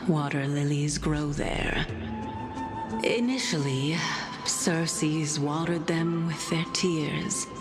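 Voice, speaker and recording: An actress playing an elegant, self-assured, scheming noblewoman in a video game, with a measured, formal and teasing delivery over music. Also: sits low. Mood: coy, composed. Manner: measured, formal, teasing, breaks into hushed phrase endings. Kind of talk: game character voice acting. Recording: game stream with music underneath.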